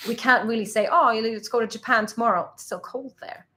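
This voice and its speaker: A chatty woman from Sweden whose first language is Swedish hosts a relaxed live question session with her partner. She laughs easily and reads viewer questions aloud in a friendly way. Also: a little high, brisk. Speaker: travel vlogger co-host. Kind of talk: casual live Q&A chat.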